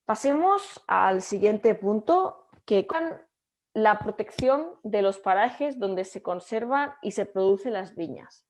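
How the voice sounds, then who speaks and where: A young Catalan woman with a Catalan Spanish accent, giving an online lecture over a video call and speaking haltingly with frequent fillers and restarts. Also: medium pitch, animated. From Catalonia, Spain. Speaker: guest lecturer.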